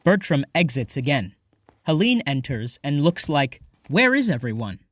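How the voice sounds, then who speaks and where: Fairly high-voiced male stage-direction narrator. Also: big pitch swings, moderate pace, dry recording.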